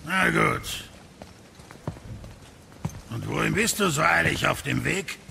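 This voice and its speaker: Ein Schauspieler spielt in einem Hörspiel einen derben, gutherzigen Zwergenschmied, der unverblümt und mit bildhaften Ausrufen redet. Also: male voice, a little high, expressive, slow pace, creaky, bright, forceful tone, a little hiss or hum, full character voice. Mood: gruff concern. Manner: brash, colourful, exclaiming. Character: blunt, gruff, good-hearted dwarf blacksmith. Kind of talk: German audio drama acting.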